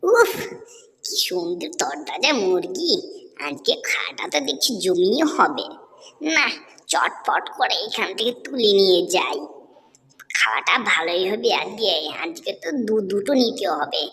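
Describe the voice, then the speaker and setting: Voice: mid-range voice, sing-song, measured pace, a bit bright, a little hiss or hum. An actor voicing a sly, greedy fox in a cartoon animal story, muttering to itself with self-satisfaction. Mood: greedy glee. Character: sly, greedy fox relishing stolen chickens. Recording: long hall-like reverb on the voice.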